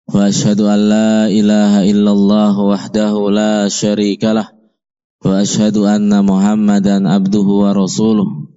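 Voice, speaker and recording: A man opening a sermon with a formal Arabic recitation, delivered in a steady, measured way. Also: fairly low pitch, clean tone, highs cut off.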